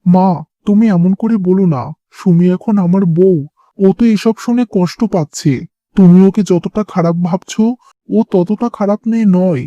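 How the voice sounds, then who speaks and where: A man's voice: sits high, moderate pace, mellow, dry recording, lo-fi sound, natural voice. A man voicing an earnest, pleading young son in love in a cartoon drama, over music.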